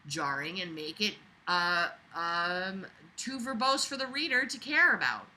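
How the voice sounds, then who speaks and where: A woman with a very bright, forceful voice reads a book aloud and picks it apart as she goes. She is sarcastic and openly exasperated, swearing freely and breaking off into theatrical, scornful asides. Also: mid-range voice, poor audio. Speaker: reader reviewing a book aloud. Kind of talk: reading a book aloud with exasperated running commentary.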